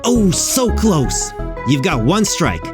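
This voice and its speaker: Man acting a slick, animated game show host. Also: on the high side, slow pace. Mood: showy, mocking. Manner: showy, mock-sympathetic. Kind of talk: audio drama game show hosting. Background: music.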